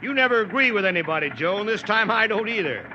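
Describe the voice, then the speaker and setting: An actor playing a stubborn, harried small-town mayor in a blustering 1940s radio drama style. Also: male voice, high-pitched, animated, quick pace, pushed and bright, muffled recording, full character voice. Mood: argumentative. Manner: blustering, exclamatory, stammering when flustered. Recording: noisy 1940s radio broadcast recording.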